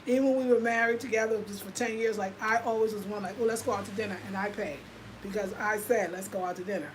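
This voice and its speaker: A woman's voice: medium pitch, steady tone, fast talker, a little hiss or hum, low-quality recording. A woman in her fifties with an American accent, chatting casually and confidingly to viewers on her livestream, full of fillers. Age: 50s. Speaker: lifestyle vlogger.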